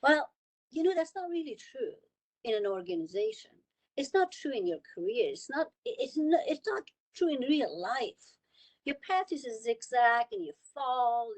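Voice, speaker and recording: A woman's voice: on the high side, poor audio. Woman from Iran, panelist on a video call, earnest, hammers points home by repeating words. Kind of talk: panel discussion remarks.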